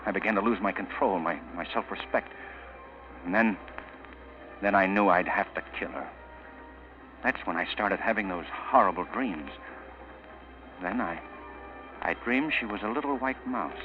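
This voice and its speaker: An actor playing a tormented, obsessive murderer in a halting, dramatic inner monologue for old-time radio. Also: male voice, on the low side, natural voice. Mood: anguished, bitter. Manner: dramatic, halting, repeating himself. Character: tormented, obsessive male murderer, brooding and unravelling. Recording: dull old radio, music behind.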